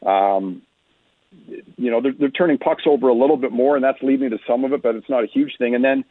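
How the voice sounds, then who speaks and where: A man's voice: mid-range voice, brisk, no echo. A man giving conversational, explanatory sports analysis as an interview guest, often stressing his points. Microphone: remote phone-like connection. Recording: narrow phone-like line, muffled detail.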